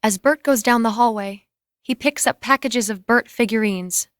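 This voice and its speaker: A woman's voice: fairly high pitch, moderate pace, no room sound, studio quality. A woman narrating scripted screenplay scene directions in a matter-of-fact way.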